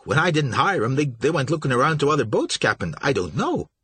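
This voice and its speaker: A man's voice: medium pitch, sing-song, brisk, fairly bright tone, slightly rough, no echo, voice pushed up. A man doing a stammering nervous young clerk. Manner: stammering, fawning. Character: flustered nervous young male shipping agent.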